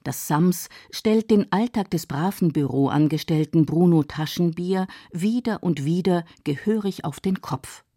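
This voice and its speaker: A woman's voice: lowish voice, unhurried, hardly any pauses, dark tone, dry recording, studio quality. A woman reading scripted narration for a radio feature.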